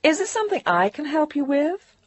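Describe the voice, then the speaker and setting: A woman's voice: high voice, sing-song, brisk, dry recording, pitched above the performer's own voice. A woman voicing a poised young executive secretary with a cool, polished, cooing manner. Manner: cool, polished, faintly condescending.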